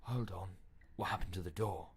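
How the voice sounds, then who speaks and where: A man's voice: sits low, moderate pace, breathy edge. Man acting a jittery, paranoid inner voice. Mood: panicked suspicion. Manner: anxious, urgent questioning. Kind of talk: visual novel game character acting.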